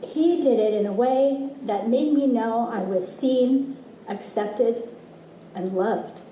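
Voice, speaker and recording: A middle-aged woman reading a personal essay aloud as expressive, deliberate storytelling with dramatic pauses. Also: on the high side, dull audio. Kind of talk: literary reading of a personal essay to a live audience. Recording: roomy, distant mic with faint noise.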